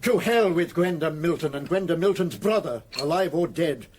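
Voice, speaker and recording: An actor playing a smooth, condescending crooked lawyer with a cultured, menacing, theatrical manner in a radio drama. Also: male voice, fairly high pitch, animated, moderate pace, over music, natural voice. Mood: irritated. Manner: suave, theatrical, mocking.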